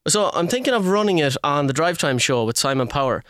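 An actor playing an earnest, shameless Irish radio staffer pitching ideas in a breezy, matter-of-fact way. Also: male voice, lively intonation, brisk, a bit bright, dry recording, clean studio sound. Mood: eager. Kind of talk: radio play comedy acting.

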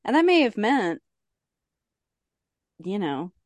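A woman's voice: mid-range voice, big pitch swings, slow, a little mellow, no room sound. A woman co-hosting a casual podcast, speaking earnestly and emphatically as she leads the discussion. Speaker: podcast co-host. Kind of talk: casual recovery podcast talk.

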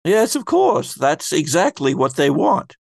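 A man's voice: on the high side, sing-song, unhurried, creaky, darkish tone, no room sound, clean studio sound, heavily acted. A man playing a grandiose, cryptic female keeper of stories in a tabletop game, speaking in a theatrical, philosophical way. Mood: explanatory, knowing.

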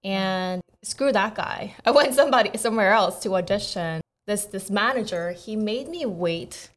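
Animated storyteller woman, podcast co-host. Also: medium pitch, moderate pace. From Korea, raised in America. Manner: animated storytelling with quoted asides. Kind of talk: casual chat.

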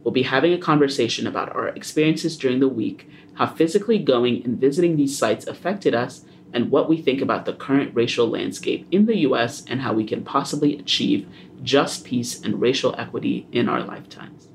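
A young man from Haiti hosts a student podcast in a relaxed, easygoing manner, dropping in small laughs and the odd "um". His voice sits at a middle pitch. A faint hiss runs under the recording. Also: fairly quick, gravelly. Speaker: podcast host. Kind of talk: conversational podcast hosting.

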